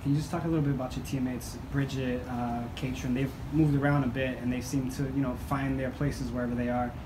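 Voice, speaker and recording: Man reporter, quick and monotone, rambling questions, echoey noisy room. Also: mid-range voice. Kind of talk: press conference questions.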